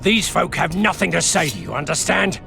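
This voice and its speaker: A tense, gravel-edged huntsman from a fantasy game, a bit high for a man. He gives curt, contemptuous orders with menace, over faint music. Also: big pitch swings, moderate pace, bright, forceful tone, heavily acted. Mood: cold menace. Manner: curt, commanding. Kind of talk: video game cutscene dialogue.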